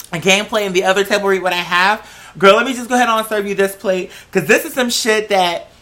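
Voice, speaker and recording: An American vlog host chatting casually and emphatically, with slangy, sweary, animated rambling. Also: mid-range voice. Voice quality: slangy speech peppered with "girl" and swearing. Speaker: host of a talk show vlog. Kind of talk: casual opinionated solo chat.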